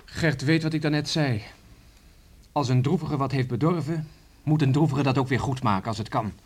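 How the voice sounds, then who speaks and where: An actor in a Dutch radio play playing an earnest, dutiful village man who speaks in a deliberate, hesitant and formal way. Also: mid-range voice, breathy edge, lightly acted. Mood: earnest, careful. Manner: deliberate, hesitant, formal third-person address.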